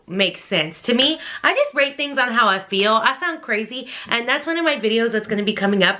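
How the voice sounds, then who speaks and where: A woman in her thirties from Texas with a Texan accent, chatting quickly and casually to camera about books she wants to read. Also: medium pitch, bright, forceful tone. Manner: chatty, quick, addresses viewers as y'all. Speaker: book vlogger.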